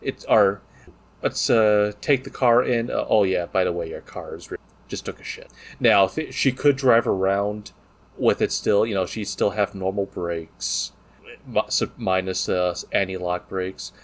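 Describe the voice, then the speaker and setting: A man's voice: fairly low pitch, a little slow. A man co-hosting a podcast in casual chat, joking and swearing freely as he talks. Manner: profane, joking banter. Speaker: podcast co-host.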